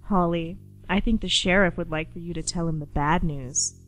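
An actress playing a smug, menacing girl who teases deliberately in a radio play. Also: fairly low pitch, soft background music, close to an everyday voice. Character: smug, menacing girl relishing bad news.

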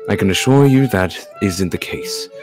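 A man doing a courteous catboy butler, low-voiced. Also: lively intonation, moderate pace, darkish tone, lightly acted. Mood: reassuring. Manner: formal, courteous. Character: polite, dutiful male catboy butler, soft-spoken night owl. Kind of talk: visual novel fan dub. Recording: game music behind the voice.

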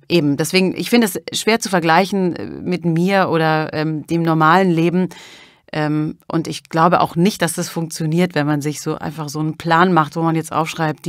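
A woman giving reflective interview answers, thoughtful and halting as she searches for words. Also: deep voice, no room sound, clean studio sound. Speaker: interviewed audiobook narrator.

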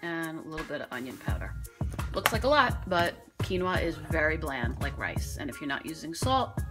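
Low-pitched woman over loud music. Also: sing-song, normal speed. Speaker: home cook giving recipe steps. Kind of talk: casual cooking instruction. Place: home kitchen indoors.

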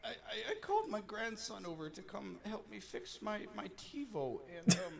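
A man voicing a confused old grandfather in a parody cartoon, halting, stammering and shaky as he rambles. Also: high voice, expressive, creaky. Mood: befuddled, earnest.

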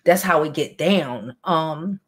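A woman's voice: low-pitched, slow, breathy edge. A woman, casually and bluntly commenting on news and reading it aloud from a home studio, with sighs and profane asides. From Brooklyn, New York. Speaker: celebrity news vlogger. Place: home studio with neon sign, indoors.

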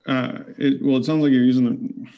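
A man's voice: medium pitch, little pitch movement, fairly quick, gravelly, muffled recording. A man arguing his side in an online debate over a video call, reasoned and argumentative. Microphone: webcam video call.

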